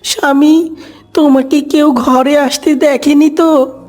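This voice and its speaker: A fretful cartoon mother duck played by a woman speaks in a high, earnest voice. She is protective of her brood, and soft music plays behind her. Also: steady tone, takes it slowly. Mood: worried.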